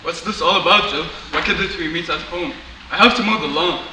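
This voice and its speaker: A man's voice: fairly high pitch, fairly quick, natural voice. An actor playing a blunt, grumpy father in a comedy sketch, recorded in an echoey room from a distance. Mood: grumbling. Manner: blunt, grumbling. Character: grumpy, loud, plain-living middle-aged father of a student. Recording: echoey room, distant mic, faint noise.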